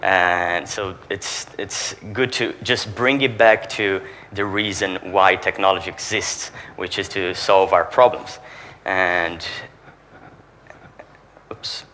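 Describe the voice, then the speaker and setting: Low-voiced man, Portuguese accent. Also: fairly even intonation, slow, sharp tone, slight background noise. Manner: explanatory, poses rhetorical questions to the audience. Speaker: regional sales manager. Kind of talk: conference presentation to an audience.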